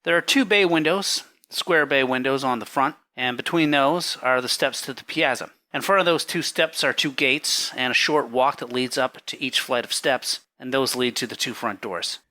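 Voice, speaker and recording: A man's voice: medium pitch, sharp tone, close to an everyday voice, low-quality recording. A man playing a careful, polite surveyor giving precise testimony in an 1893 trial drama. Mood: careful, matter-of-fact. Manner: measured, literal, polite. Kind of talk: dramatic courtroom reenactment.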